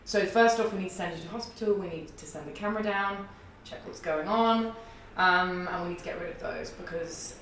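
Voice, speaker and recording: A woman in her early thirties with a husky, low alto voice, telling a long story in a rambling, chatty way with many fillers in a podcast interview. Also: fairly quick, hardly any pauses, fairly bright tone, far from the mic, a little hiss or hum. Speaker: guest, singer and theatre artist. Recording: echoey room, voice off-mic.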